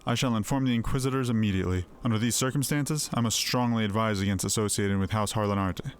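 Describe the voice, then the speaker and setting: A man voicing a formal, exacting knight officer in a fantasy game, speaking in a measured, officious way. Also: fairly low pitch, clear, resonant tone, close to an everyday voice. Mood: stern. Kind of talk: voiced reading of fantasy game dialogue. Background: music.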